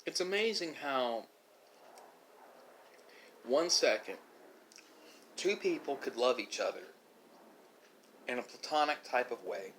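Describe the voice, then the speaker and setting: A man delivering a reflective monologue, speaking philosophically with dramatic pauses and emphasis. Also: mid-range voice, lively intonation, a bit bright, a little hiss or hum, rough recording. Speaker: video creator.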